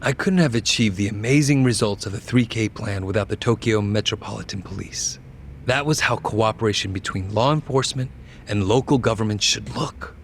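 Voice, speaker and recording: Man as a smug, low-voiced young politician. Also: fairly quick, a little hiss or hum, natural voice. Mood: smug, composed. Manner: composed, smug, articulate. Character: polished calculating politician, a young male governor. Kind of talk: televised interview in a game cutscene.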